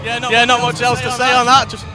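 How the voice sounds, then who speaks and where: Male boxer, casual interview chat, very high pitch, crowd and music behind. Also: monotone, moderate pace. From Leeds, Yorkshire, England. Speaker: boxer interviewed after a fight. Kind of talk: casual post-fight chat.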